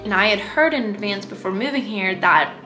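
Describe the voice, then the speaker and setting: A young woman talks casually and candidly to her camera, her plain under easy, filler-laden speech. Her pitch sits in a middle range for a woman. Soft music plays clearly behind her. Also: expressive, fairly quick, low-quality recording. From Sweden. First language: Swedish. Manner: chatty and candid, lots of "like". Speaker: vlogger. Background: background music.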